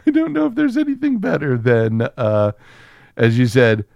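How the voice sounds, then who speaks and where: A man's voice: mid-range voice, wildly swooping pitch, moderate pace, creaky, a little mellow, muffled recording. A man co-hosting a podcast in casual, joking chat, with a profane, hyperbolic way of talking. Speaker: podcast co-host.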